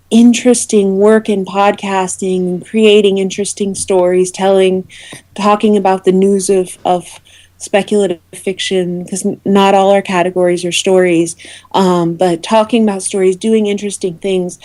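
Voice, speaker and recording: A woman's voice: medium pitch, warm tone. A woman speaking as an interview guest, explaining things earnestly in a conversational way. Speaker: interview guest, awards committee representative.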